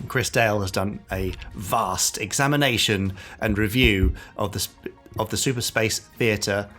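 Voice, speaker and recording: A man with a fairly low voice co-hosts a podcast in a casual, conversational way. Faint music plays behind his talk. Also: unhurried, a bit bright. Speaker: podcast co-host. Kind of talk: casual podcast chat and news.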